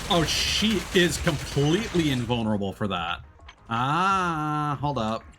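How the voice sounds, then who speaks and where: A man's voice: fairly high pitch, sing-song, unhurried. A man streaming a video game, thinking aloud in casual, analytical commentary. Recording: loud game music behind. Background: loud video game music.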